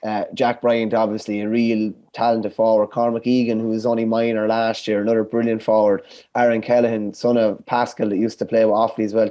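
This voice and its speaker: An enthusiastic Irish man co-hosts a sports podcast from home over a webcam. His voice is mellow and warm, a touch croaky today. He talks in a chatty, eager way. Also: mid-range voice, muffled recording. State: slightly croaky voice. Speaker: podcast co-host. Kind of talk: casual sports chat. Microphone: webcam video call.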